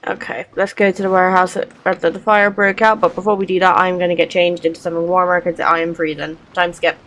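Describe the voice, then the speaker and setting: A woman's voice: fairly low pitch, level tone, quick pace, a bit bright. A woman gaming streamer giving casual commentary as she plays through a game. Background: game music.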